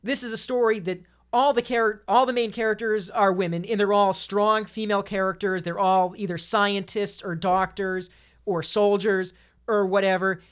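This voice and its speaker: A woman's voice: medium pitch, fairly even intonation, moderate pace, clear, resonant tone. Female podcast panelist, mid-range voice, chatting casually about movies with firm opinions and emphasis. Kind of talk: casual film discussion.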